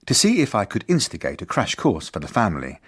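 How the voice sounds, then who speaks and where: An actor playing an earnest, polite, beleaguered headmaster in a radio drama, with a dry, formal, measured manner. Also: male voice, lowish voice, wildly swooping pitch, slightly rough, full character voice. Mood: exasperated, wry. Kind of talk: radio drama narration and dialogue.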